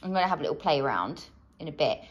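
A woman's voice: sits low, sing-song, very fast, dull audio. An enthusiastic woman in her thirties chatting casually for a home vlog, recorded indoors on a handheld device. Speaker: vlogger. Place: living room and kitchen at home, indoors. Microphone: handheld phone or camera, near.

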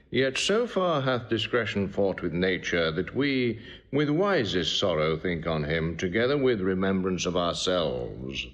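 A stately, smooth-talking king in classical stage drama. His low voice rises and falls widely as he delivers measured, formal oratory. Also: dull audio. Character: regal, smooth-talking king, new to the throne.

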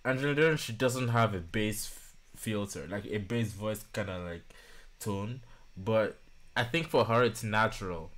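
Male reaction-channel host in his 20s, fairly low voice, informal and thoughtful commentary. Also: moderate pace, fairly bright tone. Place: small home studio room.

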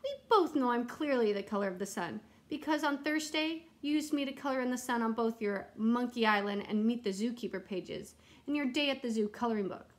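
Boastful, indignant crayon for a picture book, insistent, higher voice, woman reading. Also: a little fast, natural voice. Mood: smug. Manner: insistent, self-important.

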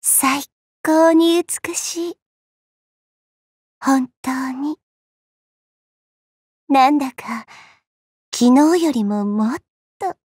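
A petite, youthful game goddess, haughty and teasing in a refined, coy way. The voice is high and soft with a lot of breath in it. Each phrase is drawn out slowly, in a dry, close sound. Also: female voice, no echo. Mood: adoring. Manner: elegant, coy, slow, refined ladylike speech. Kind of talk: Japanese mobile game character voice lines.

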